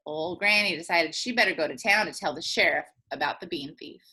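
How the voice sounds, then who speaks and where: A woman teacher reading a children's storybook aloud in an animated way, drawing words out for emphasis. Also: fairly low pitch, fairly quick, husky.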